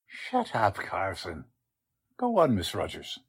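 An actor playing a gruff, folksy police sergeant in 1940s radio drama style. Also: male voice, low voice, huge pitch swings, slow, heavily acted. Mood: bossy. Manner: folksy, colloquial, blustering. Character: gruff folksy male police sergeant, bossy yet easily baffled.

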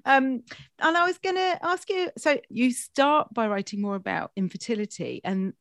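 A woman's voice: sits high, moderate pace, fairly bright tone, no room sound, clean studio sound. A middle-aged woman hosting a casual interview, with informal, tentative phrasing. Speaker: interview host. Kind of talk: casual chat.

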